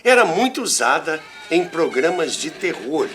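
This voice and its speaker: Older Brazilian man, animated, unhurried storyteller. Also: on the high side, a little hiss or hum. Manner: theatrical, deliberate, with dramatic pauses and asides to listeners. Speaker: storyteller, former radio worker. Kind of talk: animated anecdotal storytelling podcast narration.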